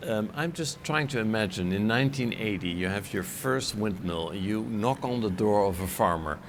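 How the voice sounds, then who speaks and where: A man's voice: fairly low pitch, lively intonation, normal speed, a little hiss or hum. A man from the Netherlands, moderating a conference stage interview in a curious, conversational way with light humor.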